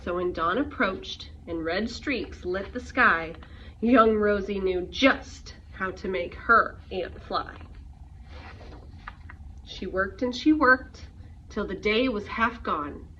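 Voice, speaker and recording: A woman reading a rhyming picture book aloud to young children in an expressive storytelling way. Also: medium pitch, takes it slowly. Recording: muffled, noisy, distant microphone.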